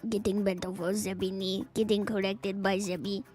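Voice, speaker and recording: A woman's voice: medium pitch, little pitch movement, normal speed, darkish tone, soft background music. A woman streamer reading viewer fanfics aloud on a live stream, playful and giggly, with teasing asides.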